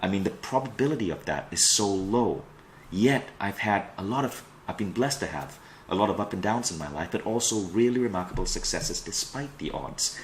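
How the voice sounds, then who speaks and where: A man's voice: lowish voice, lively intonation, moderate pace, slight background noise, rough recording. A middle-aged man from Malaysia presenting an online self-improvement training as a coach, with an emphatic, promotional delivery. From Kuala Lumpur, Malaysia. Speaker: personal growth coach and trainer.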